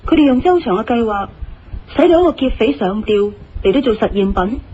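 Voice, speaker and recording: Actress as a bright, assured Cantonese-speaking woman. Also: a little high, expressive, slight background noise, close to an everyday voice. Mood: assured, probing. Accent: Hong Kong Cantonese. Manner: deliberate, didactic, assured. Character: sharp, confident woman reasoning out a case. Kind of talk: Cantonese audio drama acting. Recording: slightly muffled radio drama, music underneath.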